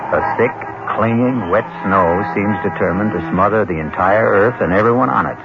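A man narrating a suspense radio drama as its host, in a theatrical, deliberate delivery. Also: lowish voice. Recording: muffled 1970s radio recording, loud music behind.

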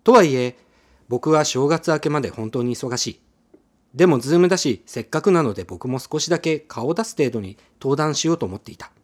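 A man's voice: on the high side, lively intonation, a little fast. A man narrating a radio drama in the first person, telling it expressively as a scripted story.

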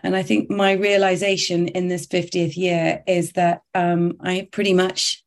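A woman's voice: on the low side, level tone, a little slow. A woman in her fifties from the UK, a journalist guest telling her career story in a reflective, chatty way. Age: 50s. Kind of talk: conversational interview.

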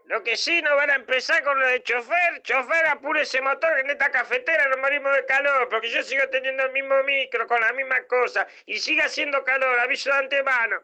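A man's voice: very high-pitched, fairly even intonation, fairly quick, hardly any pauses, pushed and bright, heavily acted. A man voicing a grumpy, bewildered, very old Argentine bus driver in a comic radio drama, muttering and repeating himself bluntly. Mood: grudging. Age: older.

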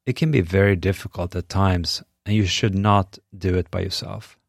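A middle-aged man with a Swedish accent and a low, deep voice delivers a scripted podcast monologue. He talks in an earnest, measured, encouraging way, close and dry with no room sound. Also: fairly even intonation, vocal fry, no echo. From Sweden. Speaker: podcast host.

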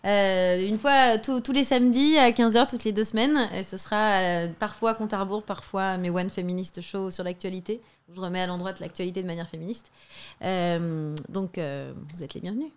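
A woman's voice: mid-range voice, normal speed, clear, resonant tone. Insistent young woman in a debate. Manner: insistent, many restarts and fillers. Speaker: guest comedian. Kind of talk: heated debate.

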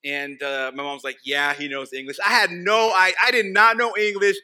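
Pastor, male, from Texas, Spanish as first language. Very bright, forceful voice on the higher side, informal preaching that hammers key phrases by repetition. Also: level tone, smooth. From Texas, United States. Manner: repeats key phrases for emphasis, slows for weight. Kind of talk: sermon, conversational and informal.

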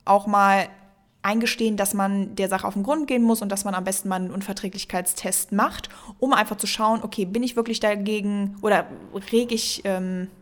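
A young woman talking casually on a podcast at a middle pitch. She rambles, stops to search for words and leans on fillers, and she drops English words into her sentences. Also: steady tone, a little fast. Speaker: podcast co-host.

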